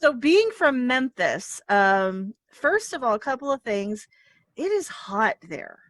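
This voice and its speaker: Bubbly higher-voiced woman host. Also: very animated, takes it slowly, no room sound. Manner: bubbly, laughs often, effusive. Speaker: podcast host. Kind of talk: casual interview chat.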